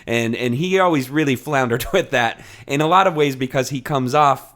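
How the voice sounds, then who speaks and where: A man with an average-pitched voice hosts a podcast. He chats casually and informally, and he is not shy about swearing. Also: moderate pace, a bit bright. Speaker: podcast host. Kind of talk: casual chat.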